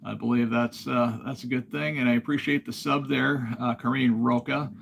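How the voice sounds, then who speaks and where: A man from Alaska hosting a casual livestream, talking in a relaxed, rambling way and reading viewer comments aloud. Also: medium pitch, moderate pace, gravelly, a little hiss or hum. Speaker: livestream host.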